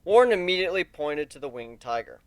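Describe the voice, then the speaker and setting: A man reads a story aloud as its narrator. His voice sits a little high and moves expressively up and down. The sound is dry, with no room around it. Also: very animated, quick pace, smooth, no echo. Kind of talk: dramatic reading of a fan fiction story.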